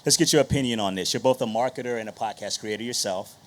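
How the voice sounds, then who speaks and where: A man's voice: medium pitch, fast, slight background noise. Panel moderator, male. Casual and playful, jokes with guests, leans on repetition. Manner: playful, joking, repeats phrases for emphasis. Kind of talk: live panel discussion, casual banter.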